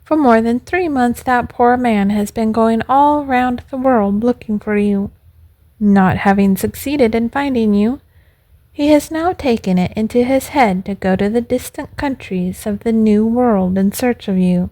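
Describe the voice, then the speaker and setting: Woman reading as a calm, high-voiced storybook pigeon. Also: measured pace, no room sound, close to an everyday voice. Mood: calm, informative. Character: large male pigeon, calm, helpful messenger. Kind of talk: storybook character voice.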